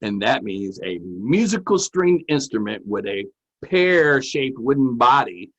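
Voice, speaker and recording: A man hosts an educational show in a casual, playful, folksy way. His mid-pitched voice is creaky and a bit husky. His intonation swings widely as he explains things. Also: big pitch swings, unhurried, highs cut off. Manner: folksy, playful, repeats himself. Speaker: host and music teacher. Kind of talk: casual, chatty educational presenting.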